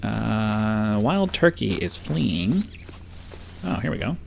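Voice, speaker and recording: A man's voice: on the low side, big pitch swings, takes it slowly, creaky, a little hiss or hum. Man casually commentating on a hunting game. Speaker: gaming video host. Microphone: close microphone.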